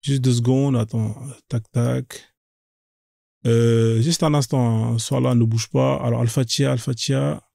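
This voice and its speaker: Gravelly man hosting a live debate. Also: mid-range voice, steady tone, unhurried, mellow, no echo, studio quality. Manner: blunt, interrupts, repeats himself. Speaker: live stream host.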